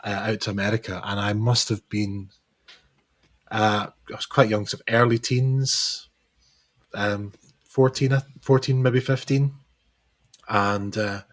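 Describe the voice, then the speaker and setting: A man with a hoarse, recovering voice, chatting as a musician guest in an interview, hesitant and full of restarts. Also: on the low side, fairly even intonation, unhurried, pauses often. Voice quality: hesitant, lots of fillers and restarts. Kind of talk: casual interview chat.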